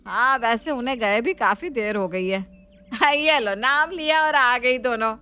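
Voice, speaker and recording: A woman's voice: a little high, a little slow, pushed and bright, smooth. A woman playing an affectionate, effusive older mother-in-law in a family story. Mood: casual concern. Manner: homely, effusive.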